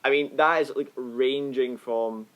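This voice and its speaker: A man co-hosts a casual film podcast in ordinary conversation. His voice sits at a medium pitch, and he talks informally, as if among friends. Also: fairly even intonation, unhurried, dull audio. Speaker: podcast co-host. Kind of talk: casual panel chat about films.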